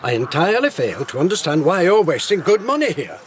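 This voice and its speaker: An actor playing a sceptical, excitable Victorian gentleman in a period radio drama, formal and emphatic. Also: fairly high pitch, fairly quick, slight background noise. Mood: scornful.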